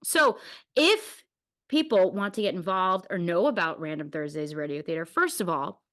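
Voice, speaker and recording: A woman's voice: lowish voice, sing-song, moderate pace, fairly bright tone. A woman hosting a casual podcast interview, talking in an informal, emphatic and encouraging way. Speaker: podcast host.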